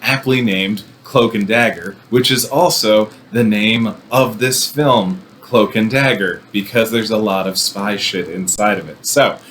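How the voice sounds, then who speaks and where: A man's voice: fairly low pitch, unhurried, hardly any pauses, fairly bright tone, slight background noise. A man hosting a movie review show in a casual, conversational way, with wry and irreverent asides. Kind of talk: casual, conversational film commentary.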